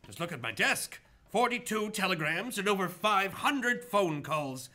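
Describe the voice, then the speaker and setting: Man as blustery store owner, commanding, bright forceful voice, animated, expansive, radio play. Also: high voice, a little slow, exaggerated voice. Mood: triumphant. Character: hearty, commanding male department store owner.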